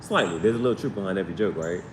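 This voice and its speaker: Deep-voiced man rambling in a roomy, noisy recording. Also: rapid-fire. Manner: repetitive, tangential, slangy asides. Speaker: online tarot reader and live stream host. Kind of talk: rambling casual live stream chat. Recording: roomy, distant mic, noisy background with vehicle rumble.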